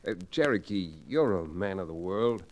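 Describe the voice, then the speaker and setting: An actor playing a measured, courteous frontier lawyer in an old Western radio drama. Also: male voice, deep voice, expressive, darkish tone, muffled recording. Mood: courteous, thoughtful.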